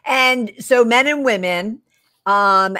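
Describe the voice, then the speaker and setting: A woman's voice: on the high side, takes it slowly, sharp tone. An older woman hosting a show, interviewing a guest over a video call with upbeat, effusive enthusiasm. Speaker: show host. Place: living room with couch.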